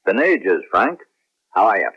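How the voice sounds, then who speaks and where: A man's voice: on the low side, wildly swooping pitch, unhurried, slightly rough, exaggerated voice. An American man acting a spaceman in a science fiction radio drama of the 1970s. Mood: probing, cool. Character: celebrated space hero captain, and his earnest clone. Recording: muffled lo-fi 1970s radio broadcast.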